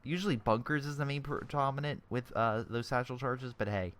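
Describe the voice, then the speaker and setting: A man's voice: mid-range voice, a little fast, fairly bright tone. A young man with a sore throat giving casual, chatty commentary on a strategy game replay, often correcting himself mid-sentence and airing blunt opinions.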